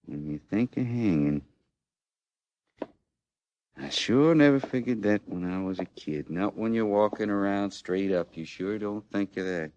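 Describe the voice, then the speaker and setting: An actor in old-time radio western style playing a condemned American outlaw in his thirties, with a rustic, halting Western drawl and a rueful, plainspoken manner. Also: fairly low pitch, expressive, darkish tone, highs cut off. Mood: sorrowful, pleading. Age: 30s. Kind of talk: mid-century American radio western acting.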